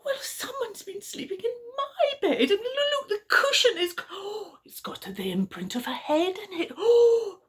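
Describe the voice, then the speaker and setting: A woman voicing Mummy Bear, a fussy motherly bear, in a high fluttery voice. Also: big pitch swings, measured pace, hardly any pauses, full character voice. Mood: astonished.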